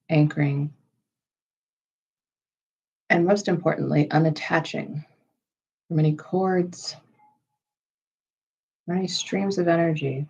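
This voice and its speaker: Woman meditation guide, lowish and mellow, unhurried phrasing, slightly muffled recording. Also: slightly rough. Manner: unhurried, measured phrasing with pauses. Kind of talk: slow, soothing guided meditation.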